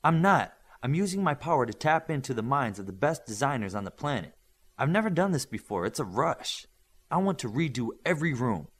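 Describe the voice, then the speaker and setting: A man reading the part of an excitable, confident, creative girl with powers in an audiobook, eager and bossy. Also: medium pitch, fairly quick, slightly rough. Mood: excited.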